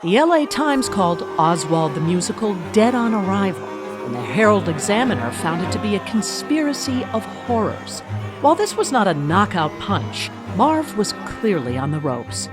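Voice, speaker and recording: A woman's voice: medium pitch, sing-song, normal speed, music in the background, natural voice. A woman playing the narrator of a mock documentary, reading a scripted biography. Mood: storytelling.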